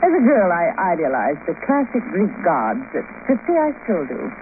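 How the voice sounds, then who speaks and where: An actress playing a rich, haughty, flirtatious socialite of fifty in a radio drama, arch, languid and teasing. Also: female voice, fairly low pitch, huge pitch swings, moderate pace. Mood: cool, bored. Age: 50s. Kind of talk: 1950s radio detective acting. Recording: noisy, tinny old radio recording.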